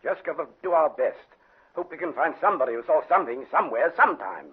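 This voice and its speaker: An actor playing a dogged British chief inspector in a radio drama, brusque and speaking in clipped sentences. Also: male voice, a little high, lively intonation, quick pace, husky. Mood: brisk, weary. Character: brisk, dogged, gruff police chief inspector. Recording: narrow-band vintage radio recording.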